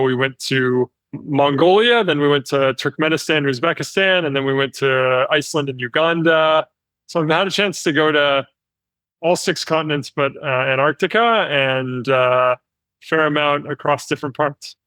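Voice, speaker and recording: An American man, a company founder, telling travel stories as an interview guest with lively delivery and frequent fillers. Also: mid-range voice, smooth, no room sound. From United States. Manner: animated storytelling with frequent fillers. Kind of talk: conversational interview.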